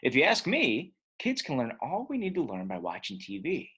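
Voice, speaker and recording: A school-hating eight-year-old boy telling his storybook tale, acted out by a man. He is grumpy and sure of his opinions, with very lively, swooping emphasis and a slightly rough, husky tone. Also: mid-range voice, normal speed, full character voice. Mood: matter-of-fact griping. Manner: emphatic, expressive. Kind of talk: first-person children's storybook narration.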